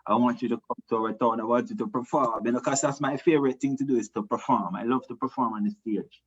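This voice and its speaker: A man from Jamaica with a Jamaican accent, a reggae singer answering interview questions in a relaxed, rambling way, full of restarts and "you know". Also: medium pitch, fast talker, slightly rough, lo-fi sound. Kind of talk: casual interview talk.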